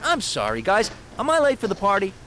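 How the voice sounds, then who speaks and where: A man's voice: high-pitched, wildly swooping pitch, fairly quick, pushed and bright, heavily acted. An actor voicing a wisecracking young male superhero in a video game, quippy and upbeat. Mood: quipping.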